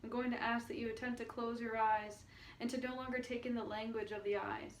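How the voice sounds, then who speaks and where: A woman speaking as a spiritual healer and meditation guide, addressing the listener in a halting way with long pauses and drawn-out words. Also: on the high side, little pitch movement. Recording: noticeable background noise.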